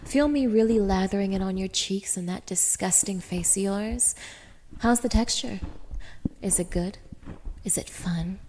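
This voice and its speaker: A woman's voice: mid-range voice, measured pace, darkish tone, close to an everyday voice. A woman playing a cruel, haughty young dominatrix in an audio roleplay, speaking straight to the listener with taunting, commanding contempt. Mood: contemptuous, commanding. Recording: reverberant, echoing room.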